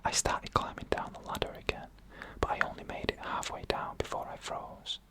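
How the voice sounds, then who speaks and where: A narrator reading scary stories in a hushed ASMR whisper, with slow, deliberate phrasing and pauses. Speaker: narrator and host of a scary story show.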